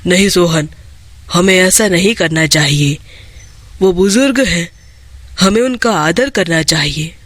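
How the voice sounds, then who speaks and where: An honest cartoon woodcutter, earnest and respectful, acted out by a storyteller. He speaks in a lowered, bright voice at a measured pace, recorded dry. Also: no echo. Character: honest, hardworking woodcutter Mohan, sensible and respectful.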